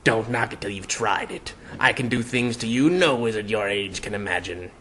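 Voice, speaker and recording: A man voicing a smug, lecherous talking hat with a gruff baritone and crooning, cajoling manner. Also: normal speed, fairly bright tone, slight background noise, natural voice. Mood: smug, cooing. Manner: crooning, sing-song, cajoling.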